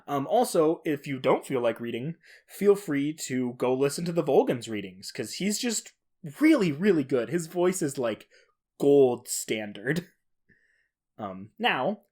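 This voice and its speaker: A man's voice: mid-range voice, darkish tone. A man hosting a podcast alone, talking casually in an informal, enthusiastic manner. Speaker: podcast host. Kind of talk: casual solo podcast talk.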